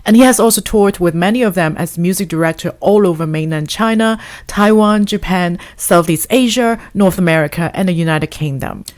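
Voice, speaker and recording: A woman's voice: fairly low pitch, brisk, few pauses, darkish tone. A woman hosting a casual podcast interview, asking questions with frequent fillers. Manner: reads a long guest introduction, with fillers. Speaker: podcast host.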